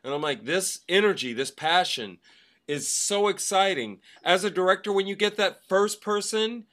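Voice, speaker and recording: A man's voice: high voice, moderate pace, fairly bright tone. Man explaining emphatically in conversation. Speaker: guest, theatre director and actor. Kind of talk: conversational anecdotes and opinion.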